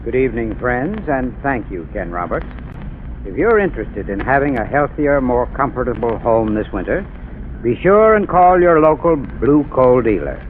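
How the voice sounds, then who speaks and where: A man's voice: medium pitch, very animated, normal speed, slight background noise. A man reading a scripted 1930s radio commercial in an earnest, friendly manner. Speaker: advertising spokesman. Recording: tinny 1930s radio broadcast.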